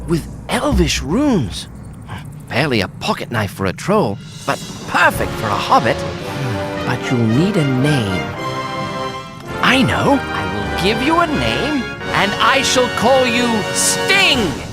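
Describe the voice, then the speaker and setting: Game hero: small wide-eyed male hobbit, hugely expressive, unhurried, loud music behind. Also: high-pitched, full character voice. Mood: delighted. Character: small, excitable, polite male hobbit adventurer. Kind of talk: video game cutscene acting.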